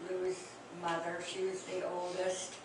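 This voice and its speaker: An older woman telling family memories to a small group in a hesitant, reminiscing way, with many pauses and fillers. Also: lowish voice, monotone. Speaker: speaker recalling family memories. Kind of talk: reminiscing oral history talk. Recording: echoey room, distant mic, noisy.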